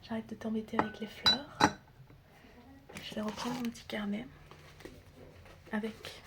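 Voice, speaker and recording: A woman doing an ASMR roleplay in a breathy whisper mixed with soft speech, teasing and chatty as she addresses the listener like a close friend. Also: on the high side, level tone, unhurried. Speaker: ASMR creator role-playing as a doctor and best friend. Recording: clear background noise with clicking sounds.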